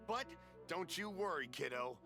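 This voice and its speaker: A man's voice: a little high, expressive, normal speed, creaky, over music. An actor voicing a jovial, generous older man selling masks at a festival in a video game, hearty and folksy. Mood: kindly.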